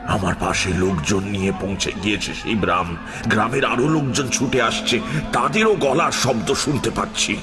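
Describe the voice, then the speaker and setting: A man narrating a first-person horror story in a dramatic, suspenseful, expressive scripted style. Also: on the low side, normal speed. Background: prominent music.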